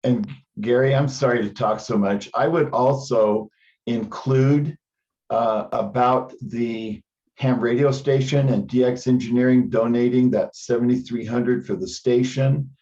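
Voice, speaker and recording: Mellow man on a dull conference line. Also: medium pitch, fairly even intonation, measured pace. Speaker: teleconference participant. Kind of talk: informal meeting discussion. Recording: dull band-limited conference call audio.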